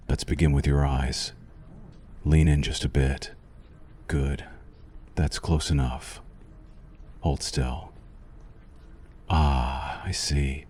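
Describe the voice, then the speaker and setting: A man playing a sinister, possessive vampire doctor in an ASMR roleplay, silkily courteous and softly menacing, with slow, deliberate delivery. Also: extremely low pitch, full character voice. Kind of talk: ASMR roleplay addressed to the listener.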